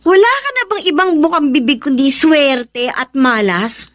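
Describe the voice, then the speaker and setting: High-voiced, steadfast Filipina younger sister. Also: female voice, sing-song, moderate pace. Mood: exasperated, defiant. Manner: emotive, earnest dramatic delivery. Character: stubborn, steadfast younger sister standing her ground. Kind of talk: Filipino radio drama acting.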